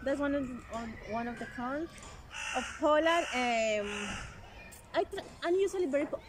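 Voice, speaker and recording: A woman's voice: sits high, normal speed. Cheerful Colombian woman, noisy room. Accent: Colombian Spanish. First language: Spanish. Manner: cheerful, laughs often, hesitant with fillers. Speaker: interview guest, Spanish teacher. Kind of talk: casual interview chat.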